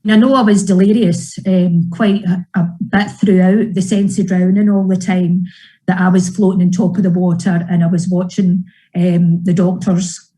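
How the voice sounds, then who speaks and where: A woman in her fifties speaking hesitantly and slowly in a news interview. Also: lowish voice, level tone, dark tone, rough recording. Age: 50s. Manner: hesitant, slow with pauses. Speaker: patient.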